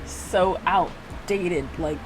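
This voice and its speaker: A woman's voice: fairly low pitch, very slow, over music, low-quality recording. A young adult woman giving casual, self-mocking reaction commentary, often repeating her phrases as she goes. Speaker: gaming reaction video creator. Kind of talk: casual reaction commentary with lines read aloud.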